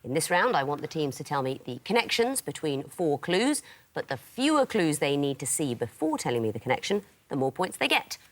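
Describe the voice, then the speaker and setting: A woman's voice: low-pitched, sing-song, fairly quick. Arch, teasing woman quiz host. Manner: arch, teasing, crisp delivery. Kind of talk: scripted quiz hosting with dry teasing. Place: television studio.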